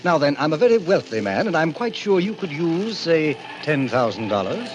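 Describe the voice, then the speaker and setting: An actor playing a suave, wealthy, middle-aged club president, a calculating villain with formal, polished speech in 1940s radio style. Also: male voice, a little high, very animated, normal speed. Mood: wheedling, urgent. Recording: noisy old radio recording, ambient sound effects.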